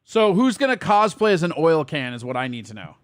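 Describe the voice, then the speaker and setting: Higher-voiced man, sardonic podcast host. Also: very animated, brisk, no room sound, studio quality. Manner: sardonic, crude teasing. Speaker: podcast host taking a call. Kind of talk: casual comedic banter.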